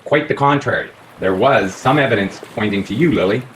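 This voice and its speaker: A man's voice: fairly low pitch, a bit bright, lightly acted. Man acting a theatrical inspector in a roomy recording. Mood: commanding. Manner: deliberate, accusatory, dramatic. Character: shrewd, theatrical police inspector unveiling a murder. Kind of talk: radio play acting. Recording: roomy, faint background noise.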